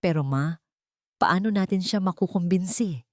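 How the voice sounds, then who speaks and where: A woman's voice: lowish voice, normal speed, darkish tone, no echo, lightly acted. A woman plays an obedient adult son in a storybook drama. He sounds doubtful and deferential toward his elders. The sound is dry, with little room. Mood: worried. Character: hesitant, obedient adult son of a domineering mother. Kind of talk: audio storybook drama dialogue.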